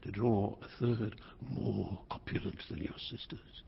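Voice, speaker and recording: A man's voice: fairly low pitch, vocal fry, a little hiss or hum. An actor playing an aging, proud and volatile king and father in a classical Shakespearean stage drama, speaking in a grand, commanding manner. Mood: grand, coaxing. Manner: grand, theatrical, deliberate, commanding. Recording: muffled, reverberant hall sound.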